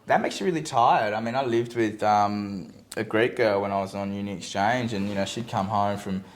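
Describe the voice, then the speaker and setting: A young Australian man with a slightly low voice, chatting in a casual podcast. He speaks in a measured, reflective way, often pausing on "um" as he weighs his points. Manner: measured, thoughtful, many "um"s. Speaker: guest.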